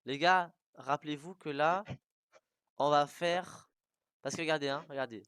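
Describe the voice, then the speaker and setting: A young adult in casual group banter with friends, talking in an excitable, crude, slangy way. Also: medium pitch, measured pace, clean tone, dry recording, studio quality. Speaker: participant in a group cartoon tier list.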